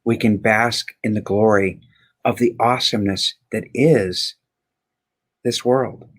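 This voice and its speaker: A man's voice: lowish voice, steady tone, unhurried. Emphatic man in mid-range voice, inspirational chat. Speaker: guest.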